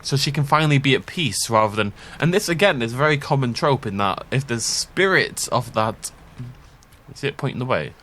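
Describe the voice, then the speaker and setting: A man's voice: mid-range voice, fairly quick. A man, sniffly from a cold, chatting casually as he plays a game. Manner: chatty, rambling, animated. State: sick, itchy throat, sniffly. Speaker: let's play gameplay commentator. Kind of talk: casual gameplay commentary.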